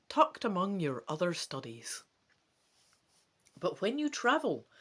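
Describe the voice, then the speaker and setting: Animated man reading a gamebook. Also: a little high. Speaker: gamebook player and narrator. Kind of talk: dramatic storybook narration.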